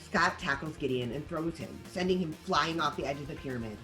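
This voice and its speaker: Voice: medium pitch, brisk, sharp tone, faint music behind, low-quality recording. A narrator reading scripted stage directions for a table read.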